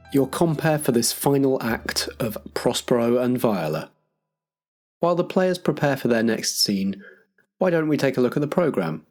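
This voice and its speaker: A man's voice: mid-range voice, darkish tone. A man acting as compere, delivering scripted programme notes as a podcast host.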